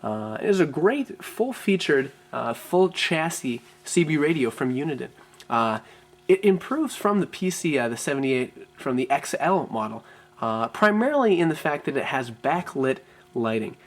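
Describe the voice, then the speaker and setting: A man presenting an unscripted product walkthrough as a reviewer, often pausing with ums and repeating words as he goes. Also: a little high, big pitch swings.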